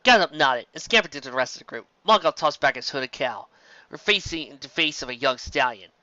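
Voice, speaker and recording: Bright-voiced man narrating a story. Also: mid-range voice, lively intonation, brisk, no room sound, dull audio. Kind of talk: amateur fan fiction reading, scripted narration.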